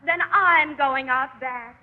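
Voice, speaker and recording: Very high-pitched affected teenage girl. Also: slow pace. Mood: petulant, dismissive. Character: affected, petulant teenage girl sprinkling French. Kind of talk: 1940s radio sitcom acting. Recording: tinny, band-limited old radio recording.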